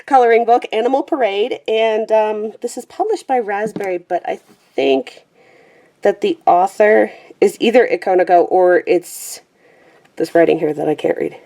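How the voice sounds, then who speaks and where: A woman talks casually to camera from a small room at home, rambling and self-deprecating. She trails off with fillers and guesses at names she can't read, in an easygoing, everyday voice of middle pitch. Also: moderate pace, mellow. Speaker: vlogger showing her colouring book collection. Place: small room at home with a pet squeaking nearby.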